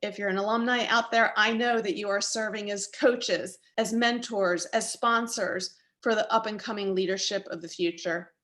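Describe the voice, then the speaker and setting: A woman closing a prepared talk in a measured, encouraging way. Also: mid-range voice, steady tone, a bit bright. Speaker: speaker.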